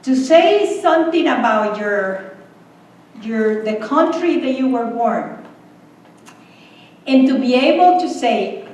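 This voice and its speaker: A woman, speaking slowly as president of a school board meeting. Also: high-pitched, animated, a little mellow. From El Salvador. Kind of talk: public meeting remarks. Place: meeting room. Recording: echoey room, distant mic.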